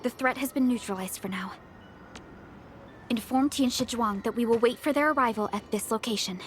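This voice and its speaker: An actress playing an alert, inquisitive young female companion fighter in a video game, with an animated manner. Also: a little high, moderate pace, soft background music, lightly acted. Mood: businesslike. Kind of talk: dramatic video game voice acting.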